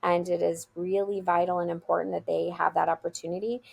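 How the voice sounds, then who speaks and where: A woman's voice: fairly low pitch, flat delivery, fairly quick, vocal fry, slightly rough. A woman, a school founder, answering interview questions in an earnest, emphatic way, often restarting her words. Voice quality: loud voice. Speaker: school founder, podcast guest.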